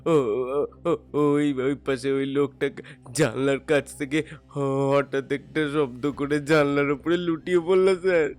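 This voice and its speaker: A man acting a frightened, apologetic young labourer in an audio detective drama, halting, stammering and pleading with deference. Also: on the high side, steady tone. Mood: frightened, agitated.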